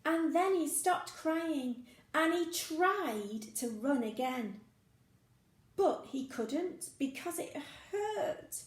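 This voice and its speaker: Woman, high voice, slow pace, children's story read-aloud, expressive, playful, roomy echo. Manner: expressive, playful sound effects, exaggerated delivery. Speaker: teacher reading a story to young children. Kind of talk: animated children's read-aloud with questions to the listener.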